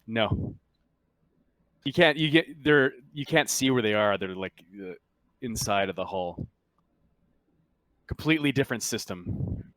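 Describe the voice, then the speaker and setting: A man working as a game master, casually narrating scenes and explaining rules in a halting way as he searches for words. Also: lowish voice, fairly bright tone.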